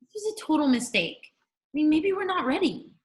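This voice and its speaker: A woman's voice: high-pitched, sing-song, normal speed. A woman playing a worried, doubtful tween girl best friend in a casual sitcom table read.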